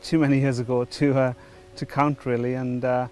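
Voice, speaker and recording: Welsh guy telling his church story, lots of uh and you know, pretty flat, faint music behind. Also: medium pitch, normal speed. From Wales. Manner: hesitant, many fillers and restarts. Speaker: church member before baptism. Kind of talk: testimony interview.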